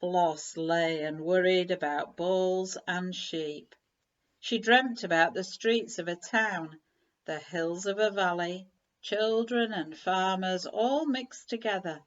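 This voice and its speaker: A woman reading a children's story aloud in an animated, measured storytelling manner. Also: on the low side. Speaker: story reader. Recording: slightly dull, lo-fi recording.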